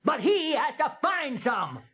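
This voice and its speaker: Man acting a very high-pitched cynical official. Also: very animated, measured pace, heavily acted. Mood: brusque, defensive. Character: cynical, cold male mission boss. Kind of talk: children's cassette drama. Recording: dull 1980s cassette tape.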